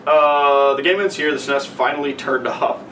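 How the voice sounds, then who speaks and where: A man's voice: mid-range voice, steady tone, normal speed, pushed and bright, far from the mic. A man bantering casually on a podcast, chipping in with slangy interjections. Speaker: podcast participant. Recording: noisy, echoey, away from mic.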